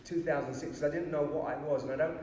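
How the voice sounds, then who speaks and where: A man in his fifties talks about producing dance in an easy, animated way, often saying "you know". His voice rings out in a very echoey, reverberant hall. There is a faint hiss behind him. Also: fairly high pitch, quick pace. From Pakistan, raised in North London. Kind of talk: casual interview.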